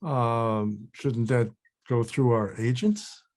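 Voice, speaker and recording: Guy reading as an older actor, mid-pitched, dry and a bit hesitant, wary. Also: slow, a little mellow, close to an everyday voice. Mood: wry. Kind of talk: table read acting.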